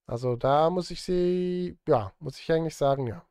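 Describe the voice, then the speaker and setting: A man's voice: fairly high pitch, moderate pace, darkish tone, smooth, no room sound, clean studio sound. A man, chatting casually and conversationally as a livestreamer speaking straight to his viewers. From Switzerland. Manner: conversational, addresses chat directly. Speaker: livestreamer and film music composer. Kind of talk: casual livestream chat while working. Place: indoor home studio.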